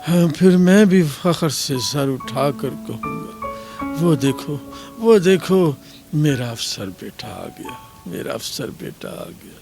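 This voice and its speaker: Man acting a weary, gravelly, poor working father. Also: fairly high pitch, expressive, takes it slowly, a little mellow, soft background music, exaggerated voice. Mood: proud, affectionate. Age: 30s. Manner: sighing, lamenting, emotive delivery. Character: poor, weary, asthmatic factory worker father, 37, loving. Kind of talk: radio drama acting.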